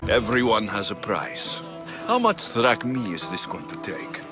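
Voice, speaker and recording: An actor playing a blunt, self-assured Greek mercenary hero in a video game, speaking tersely. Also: male voice, fairly low pitch, huge pitch swings, unhurried, over music. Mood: firm. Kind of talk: video game cutscene acting.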